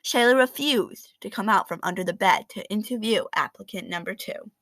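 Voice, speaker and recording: A woman reading a children's picture book aloud in an expressive, animated storytelling manner with dramatic emphasis. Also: mid-range voice, normal speed, no echo.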